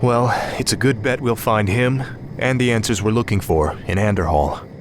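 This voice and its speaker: An actor playing a confident young prince and military commander in a fantasy video game, self-assured and commanding. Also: male voice, on the low side, normal speed, music in the background, close to an everyday voice. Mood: determined.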